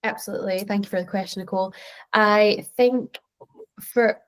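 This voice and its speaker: Brief: Scottish woman in her twenties, mid-pitched, earnest and reflective panel speaker. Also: fairly even intonation, moderate pace. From Scotland. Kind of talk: online panel answer.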